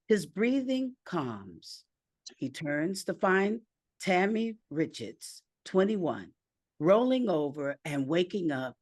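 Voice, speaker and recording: A woman narrating a screenplay aloud with deliberate, measured phrasing. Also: on the low side, no echo, clean studio sound.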